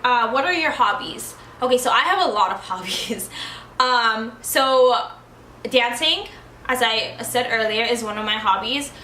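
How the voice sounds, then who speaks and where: A woman's voice: fairly high pitch, a little slow, bright, forceful tone. A young woman, born in Ukraine and raised in the United States, chatting casually to camera as she answers tag questions, with lots of likes and ums. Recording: echoey room.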